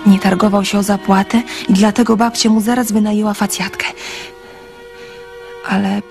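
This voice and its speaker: A candid, sensitive girl of seventeen in a radio drama, played by a woman. She is trusting and earnest, confiding in a mid-pitched voice. Music plays faintly behind her. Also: little pitch movement, normal speed, vocal fry, a little mellow, natural voice.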